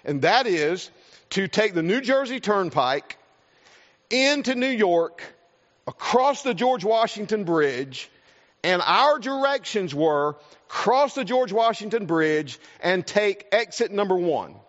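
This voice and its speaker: A man with a South Carolina accent preaches in a folksy, earnest way, and his voice sits high for a man. He often repeats words as he goes. The sound is dull and lo-fi, with a long reverb from a large hall. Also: lively intonation, takes it slowly, a bit bright. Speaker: pastor. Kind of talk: sermon with a personal story.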